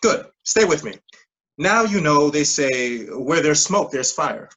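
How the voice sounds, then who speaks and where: A persuasive scheme recruiter played by an actor in a staged play reading. The voice sits at a middling pitch with a slightly husky edge, pressing insistently in clipped phrases while dodging plain words. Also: very animated, slow, a bit bright, heavily acted, low-quality recording. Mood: insistent, earnest. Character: smooth-talking, pushy pyramid-scheme recruiter mentoring a junior coworker.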